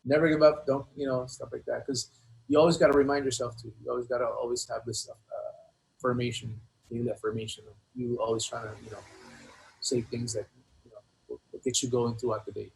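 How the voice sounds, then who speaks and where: A man talking casually as an interview guest, halting and earnest, often repeating himself. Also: mid-range voice, little pitch movement, creaky, low-quality recording. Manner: rambling, earnest, halting, many repeated phrases. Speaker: hip-hop artist being interviewed. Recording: noticeable background noise.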